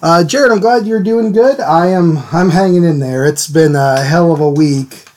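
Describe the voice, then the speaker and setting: A man hosting a live drawing stream, a little hoarse from a cold, chatting casually and greeting viewers by name. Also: a little high, moderate pace, darkish tone. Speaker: art stream host. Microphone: desk mic, sometimes turned away from it.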